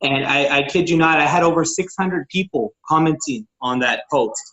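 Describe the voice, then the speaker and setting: A man's voice: fairly high pitch, moderate pace, fairly bright tone, lo-fi sound. A man, a network marketing consultant from Texas, casually teaching on a group call, eager and informal, with many fillers and restarts.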